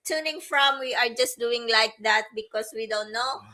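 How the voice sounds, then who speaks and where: A woman from the Philippines co-hosting a live stream, chatting slowly with many fillers and restarts. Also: a little high. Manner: slow, warm-hearted, frequent fillers and restarts. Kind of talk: casual livestream chat. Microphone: livestream setup.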